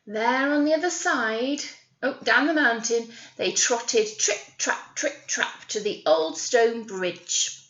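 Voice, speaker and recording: A woman teacher reading a picture story aloud to young children, drawing out key words and pausing to ask them questions. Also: fairly high pitch, fairly bright tone, highs cut off. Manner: exaggerated, drawn-out words with questions to the listeners. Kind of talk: animated read-aloud storytelling with asides to children.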